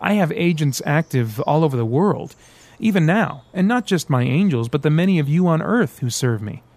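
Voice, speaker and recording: A man's voice: a little high, expressive, moderate pace, darkish tone, dry recording, pitched above the performer's own voice. A man voicing a sly, mocking devil. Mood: persuasive. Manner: teasing, sarcastic, theatrical. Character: sly mocking male devil, smooth, menacing.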